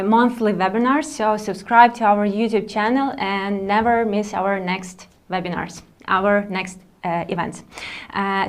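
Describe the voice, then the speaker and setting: A woman co-hosting a webinar over a video call, asking short friendly questions with fillers. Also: medium pitch. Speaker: webinar co-host.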